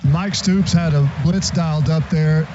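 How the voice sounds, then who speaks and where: A man breaks down football plays live on radio. His voice is high, the sound is a bit dull, and stadium noise is clear behind him. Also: steady tone, measured pace. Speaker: football commentator.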